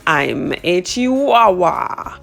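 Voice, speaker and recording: A woman's voice: lowish voice, very animated, extremely slow, gravelly, a bit bright, soft background music, heavily acted. A woman voicing a brave, proud little male Chihuahua in a read-aloud children's storybook, speaking in a dramatic, declaring way.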